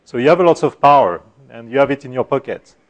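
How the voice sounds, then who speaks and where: Soft-voiced french guy giving a tech conference talk, middle-aged, lots of uhs and restarts, average pitch. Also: animated, muffled recording. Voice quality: soft-spoken. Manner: halting, many fillers and restarts. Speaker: conference speaker. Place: conference room.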